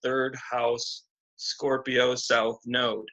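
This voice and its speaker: A man giving a slow, deliberate, encouraging astrology reading on a video call. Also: medium pitch, little pitch movement, bright, forceful tone. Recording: dull lo-fi video call audio.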